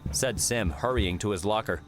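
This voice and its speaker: A man's voice: on the low side, moderate pace, faint music behind, poor audio. A man reading scripted story narration for an audiobook.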